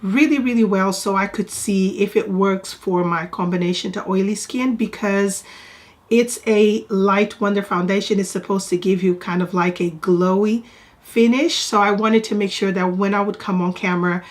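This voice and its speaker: A woman's voice: mid-range voice, fairly even intonation, normal speed. A woman whose first language is Portuguese, congested from a cold, chatting casually to camera in a product review and repeating words for emphasis. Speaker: beauty vlogger.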